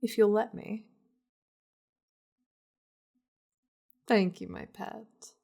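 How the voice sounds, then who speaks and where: A woman acts out a lovestruck demon lady in an ASMR romance. She speaks very slowly in a breathy, mid-pitched voice with a creaky edge. Her manner is intimate and tender, with a possessive streak and hesitant, confiding phrasing. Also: fairly even intonation, warm tone. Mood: fervent, possessive. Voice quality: breathy, often dropping to whispers.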